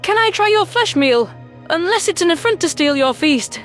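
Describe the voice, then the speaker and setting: Tiny inquisitive alien rodent, extremely high, swooping pitch, polite and tentative, light music underneath. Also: female voice, animated, moderate pace, fairly bright tone. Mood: hesitant, eager. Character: small curious, polite female alien rodent. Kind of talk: sci-fi audiobook character voice.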